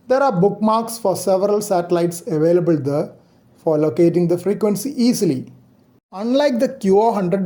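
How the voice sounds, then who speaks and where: A man's voice: high-pitched, dark tone. A man giving an explanatory, scripted narration for an amateur radio tech video.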